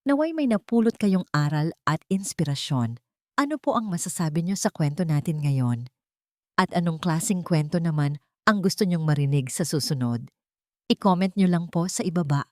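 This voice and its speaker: A woman's voice: low voice, darkish tone, no echo, clean studio sound. A woman giving an earnest, scripted dramatic narration as a sentimental storyteller. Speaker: storyteller and channel host.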